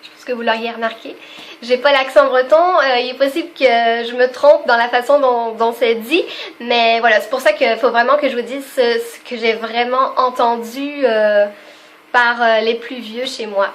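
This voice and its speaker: A young woman talks through her video off the cuff, in a fairly high voice. She laughs easily and fills her pauses with little hesitations, in an unscripted, chatty way. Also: fairly even intonation, slight background noise. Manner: chatty and spontaneous, laughs often, many fillers. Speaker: vlogger. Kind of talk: casual unscripted video chat.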